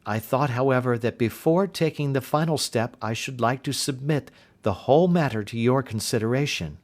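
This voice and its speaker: A man voicing a brisk, earnest young woman governess in an audiobook reading, in his own everyday voice. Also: mid-range voice, close to an everyday voice.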